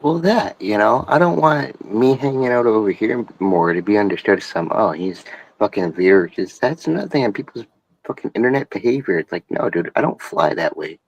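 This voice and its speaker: A man's voice: on the low side, expressive, moderate pace, no room sound, low-quality recording. A young man talking earnestly on a late-night stream, rambling and swearing a lot.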